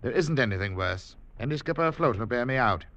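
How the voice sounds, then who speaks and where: A fatherly, middle-aged ship's captain, acted by a man, firm and authoritative. He talks fast in a bright, forceful voice with animated pitch, in muffled old radio drama. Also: no room sound, lightly acted. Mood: adamant. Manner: brisk, authoritative.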